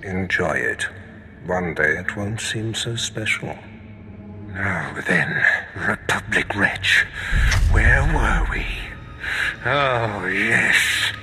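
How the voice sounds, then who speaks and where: A cruel game villain who savors his menace. He drawls extremely slowly in a lowish, swooping voice, taunting and gloating over music. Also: animated, sharp tone, full character voice. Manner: drawn-out, taunting. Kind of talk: video game voice acting.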